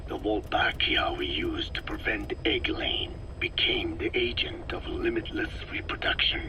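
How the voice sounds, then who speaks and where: An actor playing a cold, older male scientist who lectures slowly in a gravelly whisper, on a game audio tape. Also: lowish voice, lively intonation. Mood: clinical. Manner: slow, lecturing, measured. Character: cold elderly male parasite scientist, calm and clinical. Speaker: scientist explaining parasites. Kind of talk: game audio log acting.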